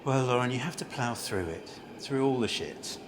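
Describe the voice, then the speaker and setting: A man's voice: mid-range voice, expressive, normal speed, close to an everyday voice. A man acting a bitter, grief-worn former banker down on his luck in an amateur radio play, blunt, cynical and world-weary. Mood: bitter, dismissive. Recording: home recording with faint background noise.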